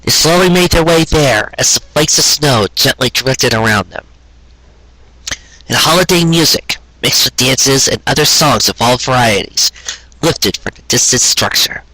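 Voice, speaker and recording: A man reading a sentimental story aloud in a measured, scripted narrating manner. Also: mid-range voice, expressive, bright, forceful tone, no echo, low-quality recording. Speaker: audiobook reader of fan fiction.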